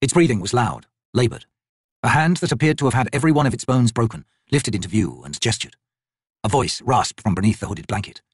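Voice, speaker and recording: A man's voice: medium pitch, husky, dry recording, clean studio sound. A man reading dramatic fantasy narration as an audiobook narrator.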